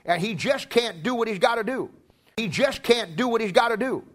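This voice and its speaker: A middle-aged man delivers a sermon in a notably high-pitched voice. He preaches in a folksy, emphatic manner, working through anecdotes and repeating key phrases to drive them home. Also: moderate pace.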